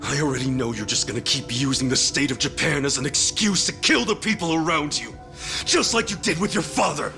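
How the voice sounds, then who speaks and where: An actor playing a righteous, loyal gangster hero in a video game cutscene, speaking in a blunt, earnest, accusing manner. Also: male voice, fairly high pitch, brisk, sharp tone, faint music behind. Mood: accusing, impassioned. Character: righteous, loyal gangster hero confronting his former young master.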